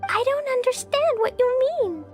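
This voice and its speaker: A woman's voice: extremely high pitch, animated, moderate pace. A woman voicing a small, earnest, plucky little girl in a video game, with a childlike way of talking. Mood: determined. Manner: childlike, earnest, speaks of herself in third person. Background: music.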